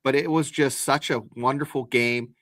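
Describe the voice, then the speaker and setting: A man's voice: medium pitch, fairly even intonation, moderate pace, a bit bright, no echo. A man co-hosting a sports podcast, explaining his takes in a folksy, casual way. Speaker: podcast co-host.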